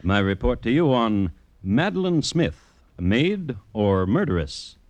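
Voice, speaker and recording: A man hosting and narrating an old radio crime series in a dramatic, deliberate, wry storytelling style. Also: mid-range voice, big pitch swings, no echo. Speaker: host and narrator.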